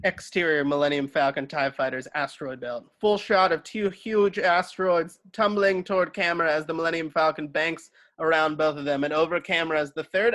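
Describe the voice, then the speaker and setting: Male narrator for a script read-through, slightly high voice, reading scene directions. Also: a bit bright.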